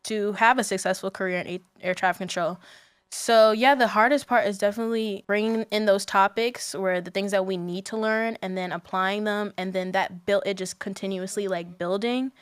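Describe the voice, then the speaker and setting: A woman's voice: medium pitch, fairly even intonation, moderate pace, smooth, no echo. A young adult woman speaking as a student guest in a casual podcast interview, informal and candid in the way she talks.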